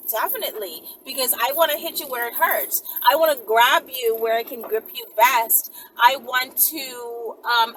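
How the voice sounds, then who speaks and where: A woman's voice: on the high side, a bit bright. Emphatic woman talking into her phone. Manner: emphatic, rambling, repeats words. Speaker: channel host answering viewer questions. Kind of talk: casual question-and-answer vlog. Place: inside a parked car. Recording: distant phone mic with faint background noise.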